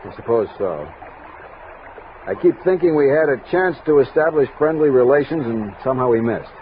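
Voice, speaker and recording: An actor playing a resolute, reflective army captain, narrating a 1960s radio western with dramatic command. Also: male voice, medium pitch, expressive, normal speed, natural voice. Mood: grave, steady. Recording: noisy tinny vintage 1960s radio recording.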